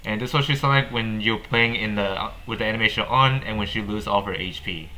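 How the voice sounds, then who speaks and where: A man hosting a gaming video with casual update commentary, speaking haltingly and often repeating and rephrasing his words. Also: on the low side, sharp tone, slight background noise, low-quality recording.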